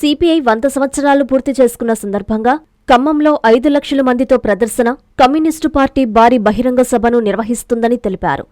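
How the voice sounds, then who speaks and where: A woman's voice: a little high, fast talker, a little mellow, no room sound, clean studio sound. A woman reading scripted news narration as a news reader.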